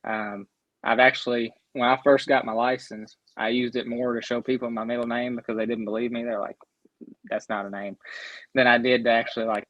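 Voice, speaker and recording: A young man with a Southern Kentucky drawl talks in a relaxed way, often stammering into his sentences. His voice sits in a middling pitch. The sound is dull and lo-fi, with a dry, close feel. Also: a little fast, dry recording. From Central Kentucky. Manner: easygoing, stammers on restarts. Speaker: guest writer. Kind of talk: casual chat.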